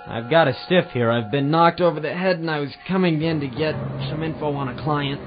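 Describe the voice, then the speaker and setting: A man playing a wry, deadpan private eye narrating his case in 1940s radio noir style. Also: on the high side, a little fast, natural voice. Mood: sardonic. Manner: sardonic, deadpan. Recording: dull lo-fi audio with loud music.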